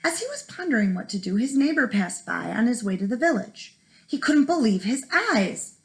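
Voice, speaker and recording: A woman teacher reading a children's story aloud expressively, with dramatic pauses for young listeners. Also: fairly high pitch, big pitch swings, low-quality recording. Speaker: first grade teacher.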